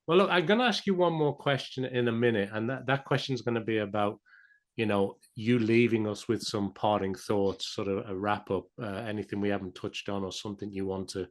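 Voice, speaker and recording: Man, podcast host, lowish voice, chatty, encouraging, stammers on restarts. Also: steady tone, fairly quick. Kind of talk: podcast interview.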